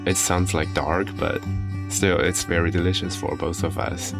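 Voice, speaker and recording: Deep-voiced young man over guitar. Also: steady tone, normal speed, creaky. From Sichuan, China. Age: young adult. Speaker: interview guest. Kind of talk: casual personal storytelling. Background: prominent plucked guitar music.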